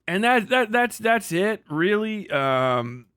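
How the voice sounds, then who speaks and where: A man's voice: high voice, sing-song, slow, fairly bright tone, clear, resonant tone, no echo, studio quality. A man hosting a livestream with casual commentary, blunt, sweary and rambling in the way he talks. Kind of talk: casual livestream commentary, reading and reacting to posts.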